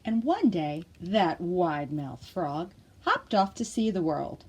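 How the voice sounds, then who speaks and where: Expressive, slow-paced woman reading to children. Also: fairly low pitch, a little hiss or hum. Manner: expressive, encouraging, exaggerated delivery. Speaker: library storytime host. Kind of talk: animated read-aloud for young children.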